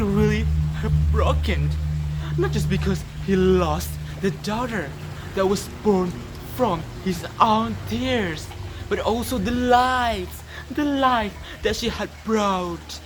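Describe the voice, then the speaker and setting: Slow, theatrical Indonesian teenage storyteller, noisy recording. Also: mid-range voice. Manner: theatrical, deliberate, emphatic pauses. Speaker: student storyteller. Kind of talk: dramatic oral storytelling for a competition.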